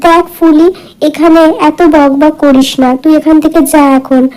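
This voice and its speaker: A woman's voice: sits high, steady tone, moderate pace, mellow, lightly acted, low-quality recording. A woman playing a haughty, spiteful village woman in a cartoon, with a dismissive, scolding manner. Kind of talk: Bengali moral-tale cartoon dubbing.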